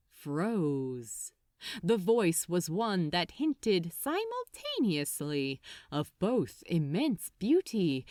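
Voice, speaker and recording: A woman narrates a story with animated, expressive intonation. She takes her time over each phrase. The sound is dry and close, as in an audiobook. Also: fairly low pitch, dry recording. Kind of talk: expressive scripted fiction narration.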